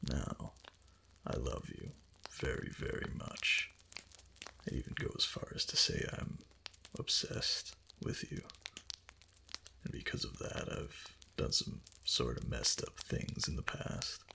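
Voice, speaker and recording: Very deep, gravelly, half-whispering doting kitsune husband played by a man. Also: huge pitch swings, takes it slowly, pushed and bright, a little hiss or hum, full character voice. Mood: tender, explaining. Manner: tender, hesitant, coaxing. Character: doting, obsessive male kitsune fox-spirit husband. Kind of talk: intimate ASMR roleplay. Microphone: close microphone.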